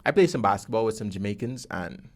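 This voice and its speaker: A man's voice: lowish voice, expressive, fast. A young man with a Caribbean accent hosting a podcast casually, with jokey slang, close on a studio mic. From Guyana. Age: young adult. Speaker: podcast host. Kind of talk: casual podcast presenting and interviewing. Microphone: boom-arm studio mic, close.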